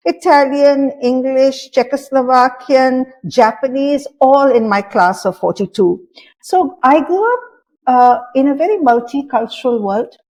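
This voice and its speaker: A woman's voice: on the high side, a little mellow, rough recording. A woman in her sixties from India, speaking as an interview guest in a reflective, thoughtful way with frequent fillers. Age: 60s. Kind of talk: interview conversation.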